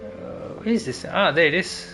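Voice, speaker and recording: A man's voice: high voice, moderate pace. Man casually commentating over game music. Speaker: game streamer. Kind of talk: casual gameplay commentary, thinking aloud. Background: video game music.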